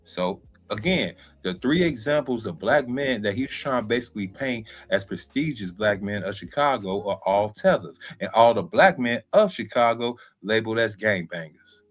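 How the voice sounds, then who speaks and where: A man's voice: lowish voice, animated, normal speed, hardly any pauses, faint music behind. A man co-hosting a casual talk stream, speaking in slang with trailing fillers. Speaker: co-host, novice comedian. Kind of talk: casual talk-show chat.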